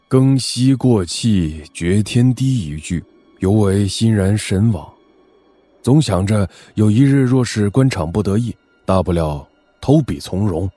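A man playing a weary, tender aging father and high minister in an audio drama, grave and reflective. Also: mellow, no echo. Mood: wistful. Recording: music under the voice.

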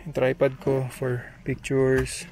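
A man's voice: medium pitch, completely flat delivery, slow, darkish tone. A man casually walking through the family's camping gear item by item in a vlog, recorded outdoors at a forest campground on a handheld camera. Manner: listing gear item by item, explaining each. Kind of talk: casual show-and-tell vlog. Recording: background music under the voice.

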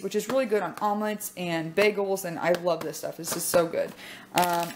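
A young woman talks through her groceries in a casual, chatty way, with frequent "um" pauses. Her voice sits a little low. It was recorded on a camera mic at a kitchen table, with a faint hiss behind her. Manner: chatty, upbeat, many "um" pauses. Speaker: vlogger showing a grocery haul. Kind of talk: casual chat. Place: home kitchen, indoors.

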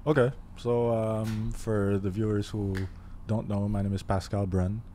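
A man in his twenties from Haiti, telling his story as a guest, thoughtful and pausing often with fillers. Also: on the low side, flat delivery, dark tone, a little hiss or hum. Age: 20s. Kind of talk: casual interview. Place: living room podcast set. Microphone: studio mic on boom arm, headphones.

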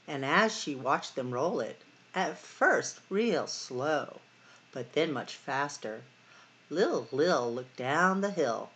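Female storytime host, middle-aged. Unhurried, very lively intonation, dramatic sing-song delivery for young listeners. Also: sits low. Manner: sing-song, dramatic emphasis and drawn-out words. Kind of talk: expressive picture-book read-aloud for children.

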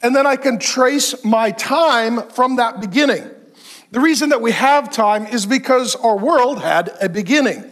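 A man of fifty-one preaching a sermon on a large church stage, animated and joking as he gestures. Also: extremely high pitch, measured pace. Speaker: pastor.

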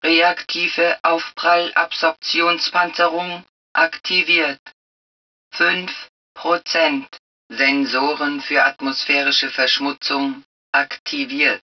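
A woman voicing the calm computer of a protective suit in a video game, making impersonal, clipped, measured announcements. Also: fairly low pitch, creaky, far from the mic, muffled recording.

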